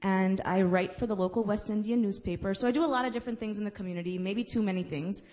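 Woman panelist, earnest and personal, mid-pitched voice, speaking at a campus event. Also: quick pace, darkish tone. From United States. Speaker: panelist, community organizer and lawyer. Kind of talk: panel talk to a college audience. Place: college event room.

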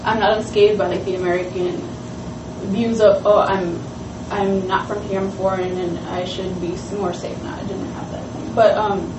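A woman's voice: medium pitch, little pitch movement, normal speed. A woman from Honduras, a student on a panel, talking casually with frequent "like" fillers. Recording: echoey room, distant mic, noisy.

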